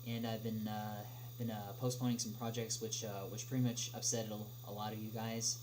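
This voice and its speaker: A young man answering questions in a casual livestream chat, speaking haltingly with many drawn-out filler pauses. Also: on the low side, flat delivery. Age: young adult. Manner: halting, hesitant delivery with frequent long filled pauses. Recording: clearly noisy, humming background.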